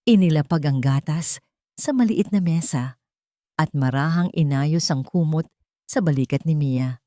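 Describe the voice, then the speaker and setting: A woman's voice: sits low, a little slow, warm tone, dry recording, clean studio sound. A woman narrating a scripted drama story in a suspenseful, emotive manner.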